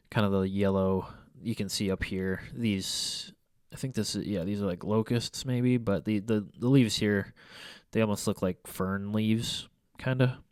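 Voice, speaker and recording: A young man talks through his photos with a congested, stuffy nose, rambling and restarting his sentences. His voice sits low and sounds smooth and clear. The sound is dry and close, with no room around it. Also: fairly even intonation, a little slow, a little mellow, no room sound. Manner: rambling, hesitant, many fillers and restarts. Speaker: photography vlogger. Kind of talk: casual photo review commentary. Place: small room at home by a window.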